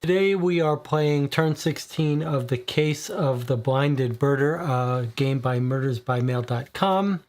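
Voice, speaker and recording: A man in his forties with a slightly hoarse voice, hosting a live board game stream in a relaxed, explanatory way from a room full of bookshelves. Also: medium pitch, slow pace, few pauses. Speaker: board game show host. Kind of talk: livestream talk.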